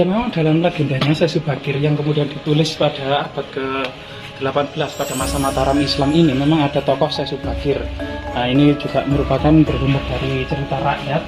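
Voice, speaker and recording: A man explaining local history outdoors, in a measured way with frequent fillers. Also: a little high, rough recording. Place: outdoors at an old graveyard. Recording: music bed under the talk.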